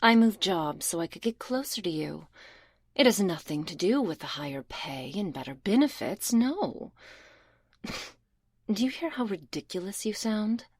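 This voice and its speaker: A woman's voice: mid-range voice, big pitch swings, normal speed, slightly rough, no echo. A woman playing a stern, teasing, domineering school librarian, scolding sarcastically in an intimate, half-whispered ASMR roleplay on a close binaural microphone. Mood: mocking, teasing.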